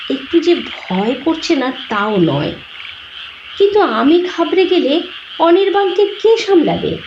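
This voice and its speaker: A woman storyteller narrating a horror story in a dramatic style. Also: high-pitched. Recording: roomy, dull sound with clear noise.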